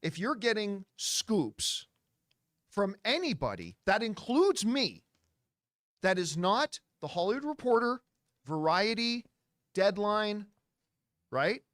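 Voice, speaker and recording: A man's voice: high-pitched, sing-song, slow pace, long pauses, fairly bright tone, no echo, studio quality. A man talking to viewers as an entertainment news commentator, retelling conversations in an emphatic, dramatic way. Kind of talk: animated storytelling talk to viewers.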